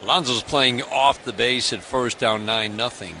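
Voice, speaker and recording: A man calling baseball play-by-play on the radio, briskly, his voice rising on big plays. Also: medium pitch, sharp tone, a little hiss or hum. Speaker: play-by-play announcer.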